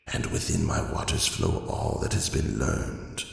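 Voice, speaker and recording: A man voicing an ancient, all-knowing river spirit in a storybook reading, with a dusty, wheezy whisper, slow grandiose ominous delivery and echoing reverb. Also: very deep voice, sing-song, gravelly, exaggerated voice. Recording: cavernous echo, long reverb.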